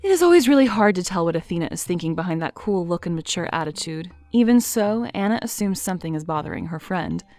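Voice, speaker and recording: Low-voiced woman narrating a story. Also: expressive, darkish tone, dry recording, faint music behind. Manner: dry, measured storytelling. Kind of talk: scripted narration.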